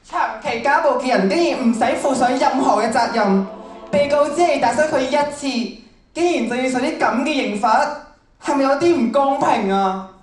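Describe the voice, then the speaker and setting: Teen girl as meek, earnest demon, plaintive and high-voiced, staged radio play, distant mic. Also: moderate pace, close to an everyday voice. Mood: indignant, dejected. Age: teens. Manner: self-doubting, earnest, plaintive. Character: timid, gentle-hearted young demon who hates injustice. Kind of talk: stage radio drama acting. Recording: echoey hall, off-mic.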